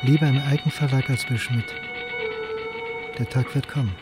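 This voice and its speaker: A man's voice: medium pitch, fairly even intonation, moderate pace, over loud music, close to an everyday voice. An actor playing a brooding, indignant historian in a radio crime drama, terse and insistent as he presses for answers. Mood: brooding, weary.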